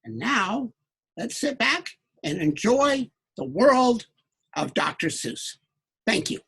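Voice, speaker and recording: A man hosting an online children's book event, introducing it in formal, measured phrases with some hesitation. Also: sits high, very animated, a bit bright. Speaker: event host.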